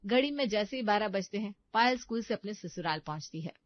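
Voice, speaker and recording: A woman's voice: medium pitch, animated, brisk, no echo, lo-fi sound. A woman reading scripted narration for a comic family story.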